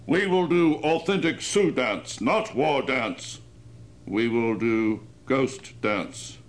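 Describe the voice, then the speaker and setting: A man playing a stubborn, dignified old Sioux grandfather in an audio drama, speaking terse, deadpan broken English. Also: medium pitch, slow. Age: older.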